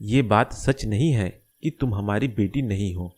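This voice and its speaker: A man voicing a caring middle-aged father in a moral-story cartoon, gentle and explanatory as he reassures. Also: on the low side, measured pace. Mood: reassuring.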